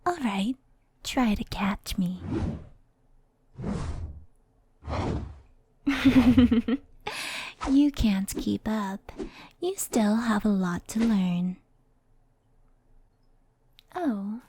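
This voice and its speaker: A gentle fox spirit acted by a woman speaks in a soft, breathy near-whisper with a mellow tone. She is unhurried, caring and a little teasing, like an intimate ASMR bedtime storyteller. Steady ambient noise of rain and brushing sits behind her. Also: mid-range voice. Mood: playful. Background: indoor rain and brushing sounds.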